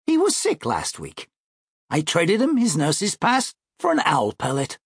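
A man's voice: a little high, wildly swooping pitch, a little slow, gravelly, husky, no echo, clean studio sound, exaggerated voice. A man voicing a confident, pompous young schoolboy in an audiobook, breezy, smug and wordy. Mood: breezy, self-assured. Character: confident, pompous, precocious seventh-grade boy. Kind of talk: audiobook character voice.

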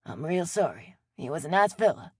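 A woman's voice: low-pitched, very animated, moderate pace, breathy edge, dry recording, studio quality, full character voice. A woman voicing a friendly old Cajun man with a folksy Louisiana drawl in an audiobook. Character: friendly old Cajun man, weathered bait-shop regular. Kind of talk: audiobook character voice.

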